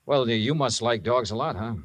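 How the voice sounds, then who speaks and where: A man playing a kindly, wry private investigator in a radio drama, speaking in a gentle, easygoing, coaxing way. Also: medium pitch, brisk, no echo, close to an everyday voice. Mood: sympathetic. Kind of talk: 1950s radio drama acting and first-person narration.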